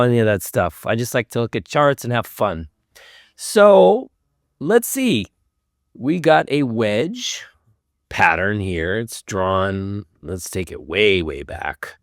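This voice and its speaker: Hoarse man musing over trading charts. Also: mid-range voice, no echo, clean studio sound. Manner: easygoing, thinking aloud over charts. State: hoarse from a cold. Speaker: host. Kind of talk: casual chart commentary.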